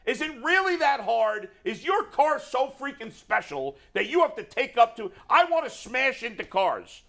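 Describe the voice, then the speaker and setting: A middle-aged man with a deep voice and a New York accent, ranting in casual chat as a sports radio co-host. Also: normal speed, gravelly. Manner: animated, exasperated ranting.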